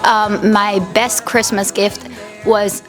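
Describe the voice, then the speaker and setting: A woman's voice: mid-range voice, level tone, slow. A woman giving a casual, unscripted interview answer. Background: background music.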